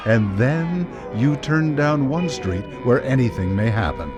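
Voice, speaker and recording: A man acts as the host of an eerie radio anthology. His dramatic narration is ominous and widely varied in pitch, over faint music in a live radio studio. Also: expressive, measured pace, muffled recording, lightly acted. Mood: ominous, measured.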